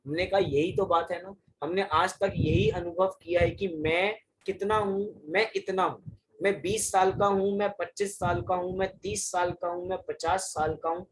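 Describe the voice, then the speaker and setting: A man's voice: high-pitched, slight background noise, rough recording. Man preaching with repeated rhetorical questions. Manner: asks the audience questions and answers them himself, repeats phrases for emphasis. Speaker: Jain scholar giving a discourse. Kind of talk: religious discourse explaining verses.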